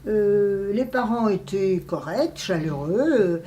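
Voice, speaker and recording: An elderly woman, speaking reflectively in an interview, often pausing to search for words. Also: mid-range voice, lively intonation, mellow, slight background noise. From France. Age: 80s. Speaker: interviewee, writer and translator.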